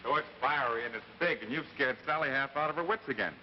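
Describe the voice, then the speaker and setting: An actor playing a sensible, kindly, skeptical biologist with an American accent in a 1950s radio drama. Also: male voice, medium pitch, recorded from a distance, lightly acted. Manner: brisk, matter-of-fact. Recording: dull old radio recording, faint noise.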